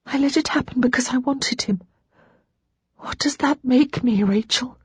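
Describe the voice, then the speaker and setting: A woman narrator voicing Lara, a young widow who is ashamed, despairing and crying, in a husky voice that keeps dropping to a whisper. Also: mid-range voice, moderate pace, darkish tone, no echo, pitched above the performer's own voice. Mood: despairing, tearful. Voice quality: husky, tearful, often whispering.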